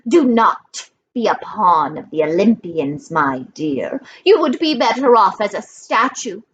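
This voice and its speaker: Woman voicing a slow, purring old female monster. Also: medium pitch, very animated, lo-fi sound, slight background noise. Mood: coaxing menace. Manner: slow, purring, coaxing, menacing when angered. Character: ancient female monster posing as a kindly grandmother.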